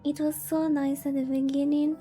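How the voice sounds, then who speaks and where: High-voiced, mellow woman streamer. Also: little pitch movement, normal speed. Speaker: VTuber streamer. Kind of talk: casual chat while playing a visual novel. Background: game music.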